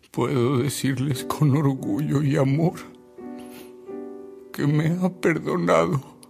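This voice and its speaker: A man's voice: fairly high pitch, vocal fry, a little mellow, heavily acted. An actor playing a tired, devoted man reminiscing with solemn emotion in a radio drama. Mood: moved, sorrowful. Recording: music behind the voice.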